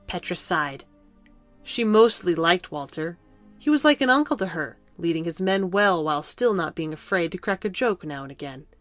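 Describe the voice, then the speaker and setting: Low-voiced woman narrating a fantasy story. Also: lively intonation, moderate pace, dry recording, soft background music.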